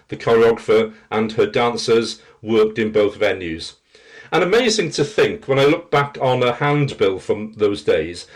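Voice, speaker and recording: A middle-aged man with a rich voice, reminiscing as a guest in an interview. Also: medium pitch. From Wales. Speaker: interview guest, theatre practitioner and teacher.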